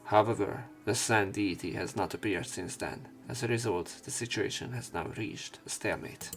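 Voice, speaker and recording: Low-voiced man reading a visual novel aloud. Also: moderate pace, gravelly, a bit bright, faint music behind. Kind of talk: narration and commentary of a visual novel.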